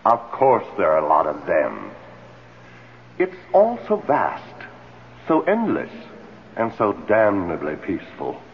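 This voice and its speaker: An actor playing the urbane ghost of a late husband in a radio drama, theatrical, wry and articulate. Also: male voice, lowish voice, huge pitch swings, slow pace, heavily acted. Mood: impatient, wistful. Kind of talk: old-time radio drama acting. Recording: dull, noisy old radio broadcast.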